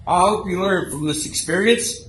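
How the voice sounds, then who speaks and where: An actor playing a stern, formal judge who lectures in a measured way in a radio play. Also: male voice, high voice, fairly bright tone. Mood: stern, admonishing. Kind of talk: audio theater acting in old-time radio manner. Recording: echoey stage recording, faint noise.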